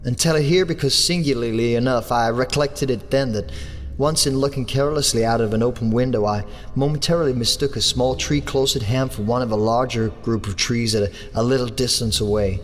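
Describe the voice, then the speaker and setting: English man narrating a horror tale. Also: slight background noise. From England. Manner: measured storytelling, lifts his pitch for characters' lines. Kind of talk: scripted audiobook narration. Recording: long hall reverb with soft music under.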